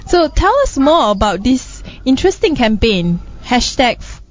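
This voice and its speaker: A woman's voice: sits high, very animated, moderate pace. A woman hosting a radio show, interviewing in a conversational style. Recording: muffled, loud music bed. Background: loud music.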